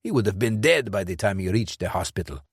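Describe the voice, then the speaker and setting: A man's voice: lowish voice, expressive, a little fast, husky, dry recording, studio quality. A man voicing a nervous, blustering male hired killer who stumbles defensively through his explanations.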